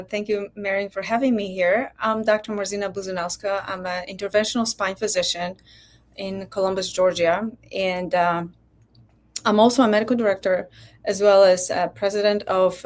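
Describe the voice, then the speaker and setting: A middle-aged woman from Poland, a physician guest telling her story in a candid, reflective way with many hesitations. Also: medium pitch. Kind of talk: candid personal interview talk.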